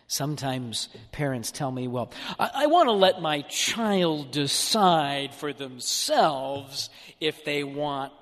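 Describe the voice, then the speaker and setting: Animated male preacher in a reverberant church. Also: fairly high pitch, slow pace. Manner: animated, mock-whining when playing an upset child. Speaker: priest or deacon preaching a homily. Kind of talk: sermon.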